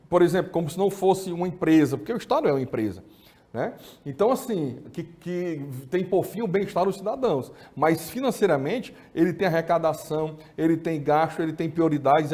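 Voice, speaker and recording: Cearense host guy, chatty, tosses in lots of "né", pitch a bit up, mellow tone. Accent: Cearense Brazilian Portuguese. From Fortaleza, Ceará, Brazil. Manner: conversational, frequent "né" tags. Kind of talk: political talk show discussion.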